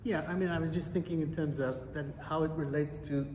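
A man's voice: a little high, fairly even intonation, brisk. A man whose first language is Afrikaans, asking a searching academic question from the floor in a conference room, thinking aloud with restarts. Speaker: audience member asking a question. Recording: echoey hall from far off, dull, faint noise.

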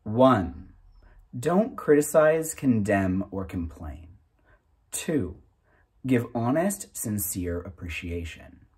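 Husky, lively man reading aloud slowly. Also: on the low side. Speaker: narrator reading a book aloud. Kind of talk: reading aloud from a self-help booklet.

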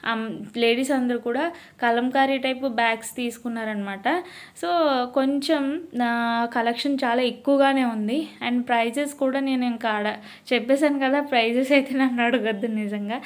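Chatty Telugu-accented woman vlogger. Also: a little high. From India. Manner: chatty, mixes in English words, addresses viewers as friends. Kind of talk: casual shopping vlog voice-over.

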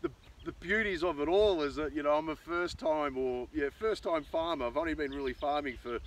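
A man's voice: fairly high pitch, brisk. Australian man rambling outdoors, off-mic. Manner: rambling, thinking aloud. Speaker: farmer and video host. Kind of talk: casual unscripted vlog talk. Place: outdoors in an open rural pasture. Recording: distant outdoor pickup with ambient noise.

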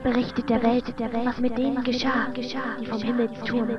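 A solemn, intelligent raven played by a woman delivers oracular warnings in a radio play. The voice is rather high. It sounds muffled, with loud music swelling around it. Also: level tone, moderate pace, natural voice. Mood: solemn, foreboding.

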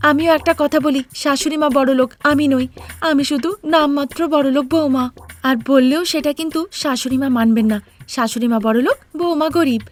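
A woman's voice: sits high, a little fast, voice pushed up, lightly acted. A woman voicing an earnest, stubborn young daughter-in-law in a cartoon story, pleading yet firm as she argues. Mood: resentful. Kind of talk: Bengali cartoon story acting.

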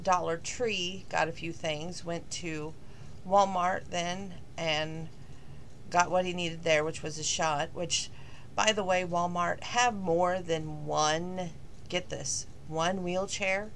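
Slightly hoarse chatty woman. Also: lowish voice, fairly even intonation, slow, a bit bright, a little hiss or hum. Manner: rambling, chatty, with many fillers. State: slightly hoarse, losing her voice. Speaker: vlogger. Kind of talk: casual talking-to-camera vlog.

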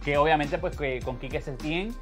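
A man in his thirties discussing sport casually and haltingly as a studio pundit. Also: fairly high pitch, a bit bright, faint music behind.

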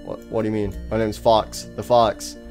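A man voicing a calm, oblivious animatronic fox who stammers and rambles in an amateur comedy skit. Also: lowish voice, normal speed, faint music behind, close to an everyday voice. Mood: hesitant, puzzled.

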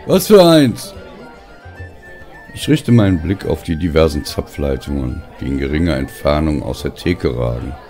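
A man narrating a literary story in the first person as an audiobook reader, with a gravelly voice and deliberate phrasing. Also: extremely low pitch, dark tone, soft background music.

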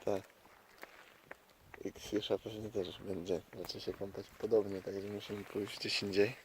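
A man with a fairly low voice talks off the cuff for a travel vlog. His delivery is casual and conversational. The outdoor recording has clear noise behind him. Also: little pitch movement, a little slow. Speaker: travel vlogger. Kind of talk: casual vlog chat, unscripted. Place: outdoors by a lake. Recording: noisy outdoor recording with clicks.

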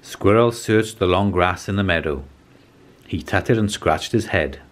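A man in his forties reading a children's storybook aloud as scripted narration, in a measured, expressive way. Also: low voice. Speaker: children's storybook reader and host.

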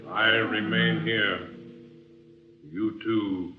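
Ominous gloating guard for old radio horror: low voice, echoey, muffled, hissy sound. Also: male voice, steady tone, extremely slow. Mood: ominous, commanding. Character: sinister, mocking guard leading prisoners to death. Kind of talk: 1940s radio acting.

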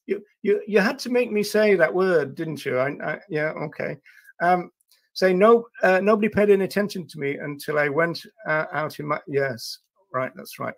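Older guy hosting a livestream, bit of a high voice, casual, stop-start halting talk. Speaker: livestream host. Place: small indoor room at a desk.

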